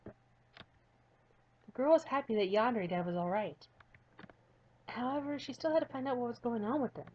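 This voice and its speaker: A woman's voice: medium pitch, a little mellow, breathy edge, highs cut off. A woman YouTuber reading a visual novel aloud with casual, playful, giggly commentary. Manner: playful, giggly, self-mocking.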